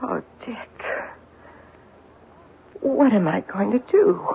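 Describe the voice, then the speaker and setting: An actress playing an anxious, disbelieving policeman's wife in a 1970s radio drama, earnest and halting in her speech. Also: medium pitch, expressive, vocal fry, a little hiss or hum. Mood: shocked, disbelieving. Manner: earnest, halting when distressed. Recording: muffled phone-like old radio broadcast.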